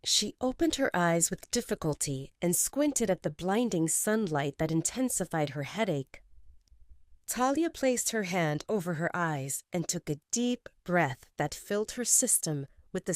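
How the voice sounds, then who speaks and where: A woman narrating a romance audio story in an expressive, scripted style, close on a studio mic. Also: on the low side, unhurried, no echo. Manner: expressive, swinging in tone.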